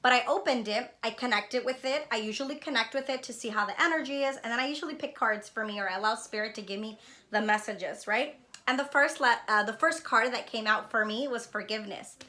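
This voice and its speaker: A woman's voice: fairly high pitch, a little fast, bright, forceful tone. A woman talks casually and earnestly to her viewers, reflective and often checking in with a "right?". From born in Honduras, living in Los Angeles. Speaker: spiritual vlogger and card reader. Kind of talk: casual heartfelt talk to viewers.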